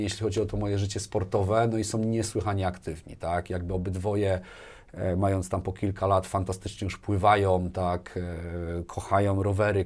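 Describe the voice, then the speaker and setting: A middle-aged man talking casually as an interview guest, often repeating words as he thinks, on a lapel mic in a small studio. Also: low-pitched. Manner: animated hand gestures, repeats words as he thinks. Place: small furnished indoor studio.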